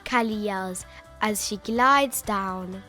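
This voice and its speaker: A woman reading a children's picture book aloud in an expressive, emphatic way, stretching out key words. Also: medium pitch, slow. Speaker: children's story reader. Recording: music bed behind the voice.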